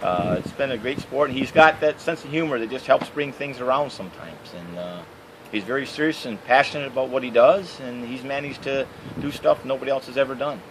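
A middle-aged man with a Michigan accent, answering interview questions outdoors in a halting way with many fillers. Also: mid-range voice, noisy background. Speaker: interviewee, canoe racer. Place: outdoors by trees and a house.

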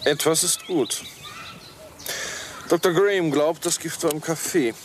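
An actor playing a jovial, self-assured police inspector in a German radio crime drama. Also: male voice, a little high, unhurried, background noise, close to an everyday voice. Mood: officious. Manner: chatty, self-assured, officious.

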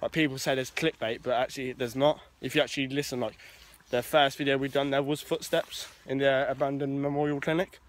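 A man's voice: mid-range voice, steady tone, a little fast, hardly any pauses. Young man chatting to viewers. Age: young adult. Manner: chatty, addresses viewers directly, nonstandard grammar. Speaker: vlogger. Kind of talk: casual walking vlog. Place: overgrown woods outdoors. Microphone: friend's handheld phone.

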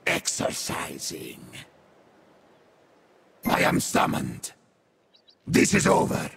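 A man playing a sadistic, vengeful infernal beast warrior in a video game, with a harsh demonic growl and theatrical, deliberate delivery. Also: sits high, creaky, a bit bright, slight background noise, full character voice. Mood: wry, gloating, then vengeful, commanding. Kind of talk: video game character voice lines.

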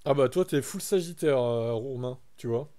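A man's voice: mid-range voice, normal speed, mellow. A young man from France chatting casually on a live stream, reacting to his chat and reading text aloud.

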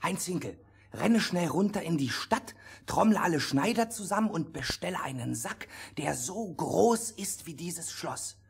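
An actor playing a bold, cunning soldier in a fairy-tale radio play, mock-polite and commanding. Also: male voice, fairly high pitch, animated, unhurried, creaky, breathy edge, full character voice. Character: bold, confident, cunning soldier leading a band. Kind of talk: theatrical radio play acting.

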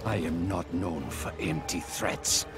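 An actor playing a cold, grieving villain scientist in a video game, speaking in a formal, measured, menacing way. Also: male voice, low-pitched. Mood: cold menace. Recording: loud music under the voice.

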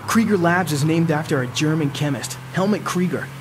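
A man's voice: fairly high pitch, fairly quick, over music, natural voice. A man playing an earnest, determined teenage boy hero in a comic audio drama, youthful and principled. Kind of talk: dramatized comic audio acting and inner narration. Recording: faint noise with clicks.

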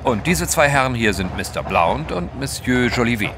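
An actor playing a debonair English gentleman adventurer, urbane, formal and dryly witty, in a German audio drama. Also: mid-range voice, sing-song, moderate pace, background noise. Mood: suave, wry.

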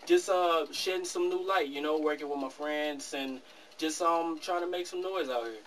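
A man's voice: a little high, a bit bright. An eighteen-year-old man, a young rapper, answering interview questions earnestly in a small studio office. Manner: fast, earnest, laughs easily. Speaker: rap artist guest. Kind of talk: casual interview. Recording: distant camera mic with faint room noise.

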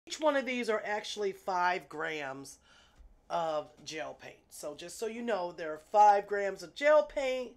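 A woman's voice: mid-range voice, sing-song, a little slow. A woman, congested and sniffly from illness, chatting casually to her viewers while she unboxes nail gel at her desk. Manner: chatty, self-amused, talks to viewers as "y'all". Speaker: nail artist vlogger. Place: home nail desk, indoors.

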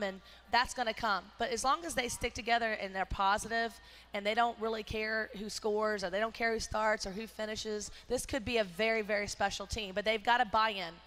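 A middle-aged woman, a basketball coach, talking in a postgame interview on a headset mic at courtside, energetic and emphatic with many fillers. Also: fairly high pitch. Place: courtside in an indoor arena.

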